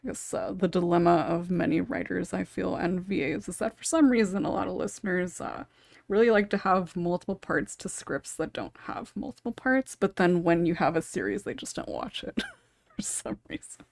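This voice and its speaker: A woman's voice: on the low side, moderate pace, creaky, darkish tone, no room sound. A woman hosting a casual podcast, rambling and interrupting herself, with small chuckles between thoughts. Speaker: podcast host and script writer.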